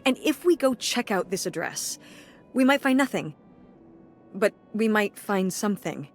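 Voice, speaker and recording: A weary middle-aged mother in a story game, dry and wry as she reasons things out. The voice sits at an ordinary middle pitch, level and unhurried. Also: lively intonation, a little hiss or hum. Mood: reasoned. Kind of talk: video game voice acting.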